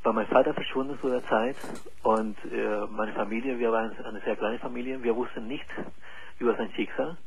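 A man in his forties from Chile, calling in to a radio show and speaking haltingly with many pauses and fillers. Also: mid-range voice, fairly even intonation, vocal fry, a little hiss or hum, low-quality recording. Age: 40s. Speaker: caller. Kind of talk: call-in radio conversation.

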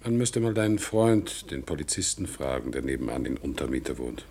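A man's voice: very deep voice, very animated, moderate pace, gravelly, warm tone, full character voice. A man playing a meek, melancholy one-legged veteran in a 1960s German radio play, speaking plaintively and broodingly. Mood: plaintive, defensive.

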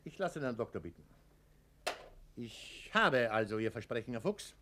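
Radio play role: officious male official, self-righteous, smoothly formal, highly animated delivery. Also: normal speed, slight background noise. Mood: placating. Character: officious male bureaucrat chief, servile upward, patronizing downward.

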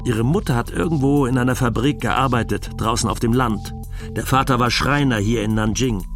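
A man's voice: mid-range voice, moderate pace, close to an everyday voice. A man playing a frank German husband in a documentary radio play, talking colloquially and matter-of-factly, as in a monologue. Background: music.

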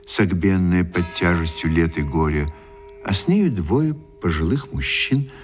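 An actor narrating a period tale for a radio play in an expressive, measured storytelling manner. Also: male voice, low-pitched. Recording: radio drama with faint music behind.

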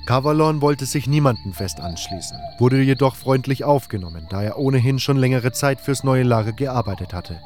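A man's voice: mid-range voice, lively intonation, moderate pace, few pauses, darkish tone. A man reading scripted first-person narration for a fantasy audio drama. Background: music.

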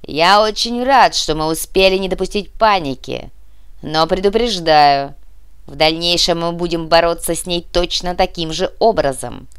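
A woman voicing a ruthless male terrorist leader in an audiobook, giving loud, deliberate orders with cold menace. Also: sits low, lively intonation, smooth. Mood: coldly threatening. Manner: loud barked orders, drawn-out deliberate phrasing. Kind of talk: audiobook character acting.

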